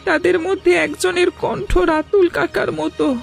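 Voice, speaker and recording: A high, creaky young village boy, acted by a woman in a cartoon. He is earnest and pleads plaintively. Faint music plays behind the voice. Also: fairly even intonation, measured pace, full character voice. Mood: suffering, pleading. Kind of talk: cartoon voice acting.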